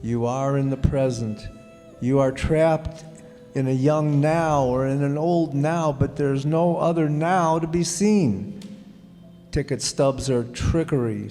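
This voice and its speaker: Radio drama: prim remembered husband male actor, highish mellow voice, measured, patient reasoning. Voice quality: soft. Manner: measured, gently lecturing. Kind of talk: radio play acting. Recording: hall reverb, music bed.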